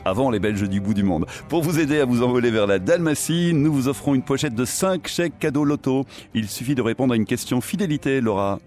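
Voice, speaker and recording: A man with a Belgian French accent hosting a radio interview, asking measured questions with pauses. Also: medium pitch, animated, a little mellow, soft background music. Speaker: radio host.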